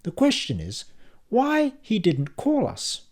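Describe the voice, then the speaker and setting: A shrill, theatrical mother who scolds her grown son and soars near soprano when upset, as a male narrator puts her on. Her pitch swings all over the place in a storybook reading. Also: huge pitch swings, takes it slowly, a little mellow, exaggerated voice. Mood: scolding. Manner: high, dramatic, scolding.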